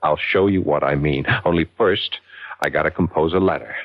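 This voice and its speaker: A man's voice: extremely low pitch, normal speed, no echo. An actor playing a scheming, cynical ad agency man in a theatrical, insinuating 1970s radio drama style. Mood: scheming, excited. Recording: dull 1970s radio broadcast recording.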